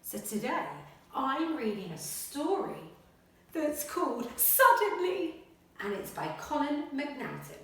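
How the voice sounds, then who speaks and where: A woman primary school teacher speaking to children and reading a picture book aloud in a dramatic, expressive way. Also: high-pitched. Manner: dramatic, expressive, with gasps and suspenseful pauses. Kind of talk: animated children's story reading and lesson. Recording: very echoey room, slightly distant mic.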